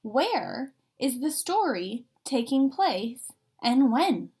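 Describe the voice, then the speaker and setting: A woman's voice: high-pitched, sing-song, slow, darkish tone. A woman reading a picture book aloud like a teacher, expressively, drawing out and stressing key words. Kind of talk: expressive children's read-aloud.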